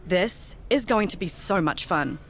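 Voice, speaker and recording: A woman's voice: lowish voice, animated, measured pace, background noise. An actress playing a scheming, ambitious woman wrestler with a sly, coaxing way of talking in a video game. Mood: eager, scheming. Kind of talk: video game story cutscene dialogue.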